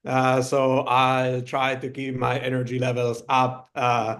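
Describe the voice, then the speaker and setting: A middle-aged man from Argentina gives a relaxed storytelling talk over a video call. He often pauses on fillers as he goes, and his voice sits at an ordinary middle pitch. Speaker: guest speaker, founder. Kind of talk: storytelling presentation.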